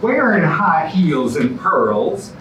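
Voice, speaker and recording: An actor playing a pompous, self-adoring TV psychologist in a comedy staged reading. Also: male voice, a little high, big pitch swings, takes it slowly, a bit bright, heavily acted. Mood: smug. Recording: echoey, noisy, distant stage recording.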